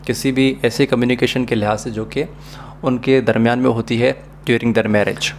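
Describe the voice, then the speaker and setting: Male tutor, Pakistani accent, mid-pitched voice, didactic lecture delivery with English phrases mixed in, noticeable hum behind. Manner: didactic, addresses students directly. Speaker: law lecturer. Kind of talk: explanatory law lesson with examples, switching into English phrases. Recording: clear background noise and hum.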